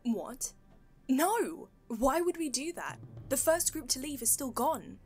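Fearful, superstitious crewwoman for audio fantasy, played by a woman; high voice over faint music. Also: big pitch swings, takes it slowly, darkish tone, full character voice. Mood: fearful, urgent. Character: frightened, superstitious female ship's crew member. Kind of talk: fantasy audio drama acting.

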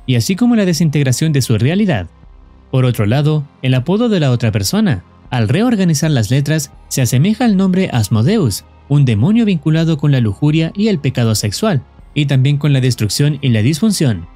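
Mellow man narrating, lively pitch. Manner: measured, formal. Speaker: horror video narrator. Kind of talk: scripted explanatory narration. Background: background music.

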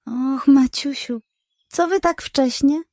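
A woman's voice: sits high, slow pace, a little mellow, no echo, studio quality. A woman voicing a sleepy, weary little female fox in a children's storybook, with a languid way of talking. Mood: drowsy, puzzled. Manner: drowsy, languid.

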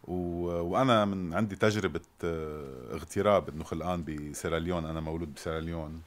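Very deep-voiced Lebanese diplomat. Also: male voice, fast. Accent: Lebanese Arabic. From Lebanon and Sierra Leone. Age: 50s. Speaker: ambassador, interviewee. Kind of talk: interview, recounting his career. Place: indoors, residence sitting room.

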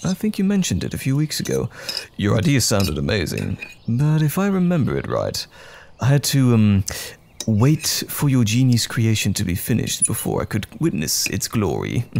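Low, swooping, tender boyfriend played by a man. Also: wildly swooping pitch, normal speed, vocal fry, slight background noise, heavily acted. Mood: encouraging. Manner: gentle, soothing, affectionate. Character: loving, caring, gently teasing boyfriend. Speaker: audio roleplay performer. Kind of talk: intimate boyfriend comfort audio roleplay.

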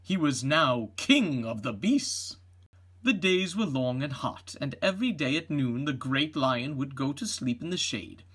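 A middle-aged man narrates a children's storybook. His voice is husky and animated, with expressive rises and falls. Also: mid-range voice, measured pace. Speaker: read-aloud narrator.

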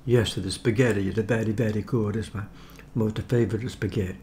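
A man in his seventies chatting casually to camera in short, halting phrases while he eats. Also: lowish voice, fairly even intonation, dark tone. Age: 70s. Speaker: vlogger eating on camera. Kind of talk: casual mealtime chat to camera. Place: home dining room. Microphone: camera across the table. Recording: noisy room with cutlery clinks.

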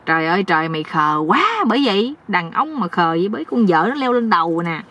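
A woman's voice: lowish voice, animated, fast talker, fairly bright tone. A woman voicing a nosy, bragging older village uncle who scolds bluntly like a know-it-all in a comic story. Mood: bossy scolding.

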